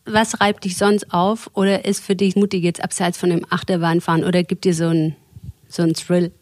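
A woman hosting a podcast, introducing her guest and interviewing him with playful, quick questions in a soundproof studio. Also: lowish voice, little pitch movement, a little mellow, no room sound. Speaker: interviewer, magazine reporter.

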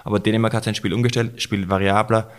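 A man delivers measured football punditry in a fairly low voice. His tone stays flat and level, and he strings phrase after phrase as he reflects on the matches. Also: smooth. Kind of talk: post-match football analysis.

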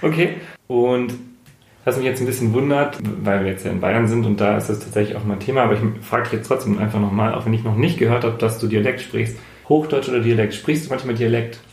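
A man hosting an interview, asking friendly questions with many fillers and quick laughs. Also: mid-range voice, a little mellow. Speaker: interviewer, podcast host. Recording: roomy echo.

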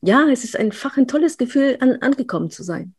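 A woman from Poland talks in a Polish accent with a rolled R, her voice slightly husky. She tells her own story candidly as an interview guest, often restarting her sentences and filling pauses. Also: high-pitched, lively intonation, a little mellow. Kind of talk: casual interview talk.

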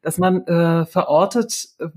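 Woman, podcast host, lowish husky voice, conversational, reflective moderation. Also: unhurried, a little mellow. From Turkey connection. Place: indoors, seated beside co-host.

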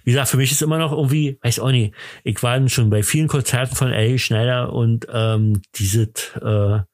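Male podcast host, mid-pitched, talkative and enthusiastic, hesitant rambling delivery, very dry sound. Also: steady tone, moderate pace, darkish tone, no room sound. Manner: talkative, rambling, hesitant with many broken-off sentences. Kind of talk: casual, chatty podcast conversation.

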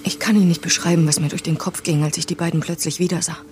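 A woman's voice: fairly low pitch, fairly even intonation, quick pace, darkish tone, natural voice. An actress playing a defiant, wounded woman in an audio drama, speaking with emphatic intensity. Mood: bitter, hurt. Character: wronged woman, defiant, frightened and bitter. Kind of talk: naturalistic audio drama acting.

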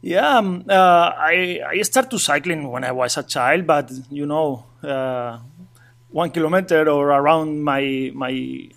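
A man from Asturias with a Spanish accent, speaking halting non-native English as an interview guest, with many restarts and light chuckles. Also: a little high, vocal fry, fairly bright tone. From Spain, Asturias. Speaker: guest, traveling cyclist. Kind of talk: casual remote interview.

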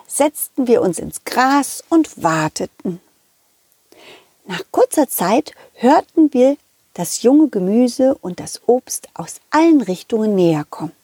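A woman's voice: on the high side, darkish tone, husky, no room sound, studio quality. A woman narrating a children's story expressively as the storyteller. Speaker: storyteller and puppeteer.

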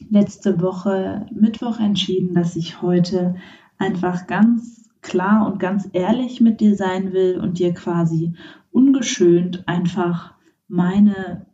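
A woman's voice: fairly low pitch, mellow. Candid woman podcaster, muffled and distant. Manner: candid, reflective, frequent fillers and restarts. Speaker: podcast host. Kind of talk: personal podcast monologue. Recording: muffled, slightly distant mic with faint noise.

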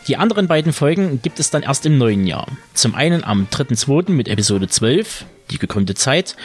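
Man hosting a podcast over music. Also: mid-range voice. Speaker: podcast host. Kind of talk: scripted podcast narration. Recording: music under the voice.

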